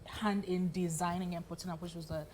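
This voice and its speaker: A Ghanaian woman speaks as a studio guest in a fairly low register. She explains things in an informal, enthusiastic way. There is some background noise and ambience around her voice. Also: fairly even intonation, a little fast, darkish tone. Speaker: event coordinator, guest. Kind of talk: morning TV interview. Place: TV studio set.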